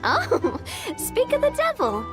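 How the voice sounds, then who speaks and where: A woman's voice: extremely high pitch, huge pitch swings, slow, exaggerated voice. A woman voicing a confident, friendly young gang boss in a video game, playful and nonchalant. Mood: warm, playful. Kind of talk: video game character acting. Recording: game music behind.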